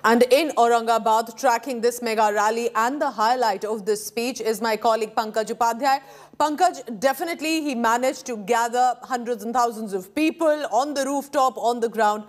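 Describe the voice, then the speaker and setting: A woman's voice: a little high, moderate pace. A woman in her thirties with an Indian accent, presenting television news from a studio. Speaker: news anchor.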